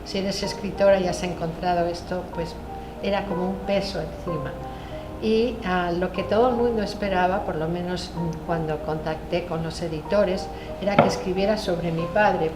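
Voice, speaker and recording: A woman memoir author whose first language is Spanish, answering panel questions in a measured, reflective way. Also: fairly low pitch, fairly even intonation. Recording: echoey room with music behind.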